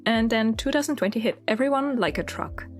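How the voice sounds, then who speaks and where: A woman's voice: fairly high pitch, animated, a little fast, soft background music. Candid Swiss German woman, reflective voice-over. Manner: candid, chatty, thoughtful. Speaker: illustrator and channel host.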